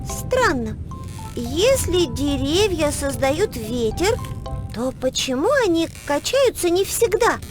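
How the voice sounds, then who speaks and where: A woman voicing a curious, excitable little girl who wonders aloud expressively in a children's educational cartoon. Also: high-pitched, takes it slowly, music in the background, heavily acted. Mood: curious, puzzled.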